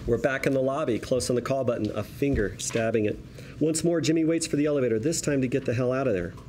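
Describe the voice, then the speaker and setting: A man's voice: mid-range voice, fast talker, darkish tone, slight background noise. A man reading screenplay stage directions aloud as narrator, in a wry, colloquial storytelling manner. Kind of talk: scripted screenplay narration, present tense.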